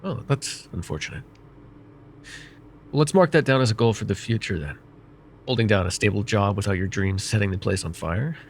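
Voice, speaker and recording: A man voicing a vain, wry, middle-aged male mage who counsels a student in an ASMR roleplay, speaking in a calm, measured and gently teasing way. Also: fairly low pitch, slight background noise. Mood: calm reassuring. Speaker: voice actor.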